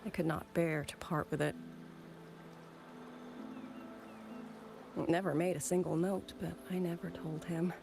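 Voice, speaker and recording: An actress playing a grieving former musician turned soldier in a video game, speaking softly and measuredly with wistful resolve, over background music. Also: female voice, fairly low pitch, steady tone, a little fast, creaky, background noise. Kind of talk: dramatic video game voice acting.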